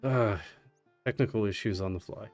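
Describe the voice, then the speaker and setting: A man's voice: lowish voice, moderate pace, a little mellow, soft background music. A man giving casual live commentary as he speedruns a video game on a livestream.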